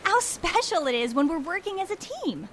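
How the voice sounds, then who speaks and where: An actress playing a breezy, earnest, oblivious young theater crew member in an audio drama. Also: female voice, very high voice, very animated, normal speed, a bit bright, slight background noise, heavily acted. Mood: cheerful, oblivious.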